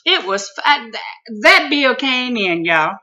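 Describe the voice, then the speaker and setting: A man from Mississippi with a Southern accent and a high-pitched voice, telling a comic story in a folksy, exclaiming way. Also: very animated, takes it slowly, sharp tone. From Mississippi, USA. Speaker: vlogger.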